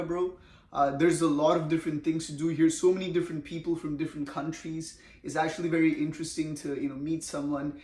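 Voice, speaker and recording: Young man, from India, slightly high voice, laid-back chatty vlogger. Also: a little mellow. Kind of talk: casual storytime monologue. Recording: echoey room.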